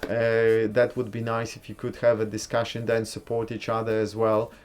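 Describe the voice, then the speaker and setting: A man's voice: lowish voice, normal speed, slight background noise. Easygoing man chatting on a livestream. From Poland. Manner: chatty, relaxed, reads and answers comments; blunt opinions. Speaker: livestream host. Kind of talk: casual live-stream chat with viewers.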